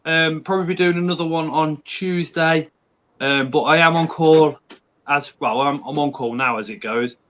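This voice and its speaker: A man hosting a casual livestream chat, talking in a relaxed, chatty way. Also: a little high, moderate pace. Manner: relaxed, chatty, some restarts. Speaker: channel host. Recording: muffled lo-fi audio.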